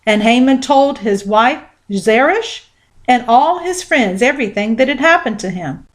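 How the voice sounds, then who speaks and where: A woman reading scripture aloud as scripted narration, with measured, deliberate phrasing. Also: a little high, animated. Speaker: Bible reader.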